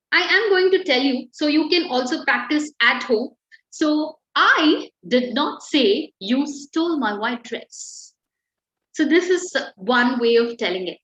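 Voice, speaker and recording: A woman's voice: sits high, fairly bright tone. A young adult woman, teaching an online writing class and explaining patiently with examples. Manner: encouraging, explains with examples and fillers. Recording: echoey, slightly dull call audio.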